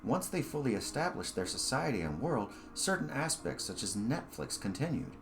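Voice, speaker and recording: A man's voice: mid-range voice, big pitch swings, normal speed, over music. A man reading his own short story aloud as scripted narration.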